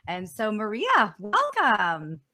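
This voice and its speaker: A woman co-hosting a live-streamed business show, speaking in a bright, forceful voice. She talks in a chatty, eager way, full of casual fillers, as she introduces guests and shares her reasons for the show. The sound comes over a video call. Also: mid-range voice, wildly swooping pitch, slow pace. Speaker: co-host. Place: San Diego.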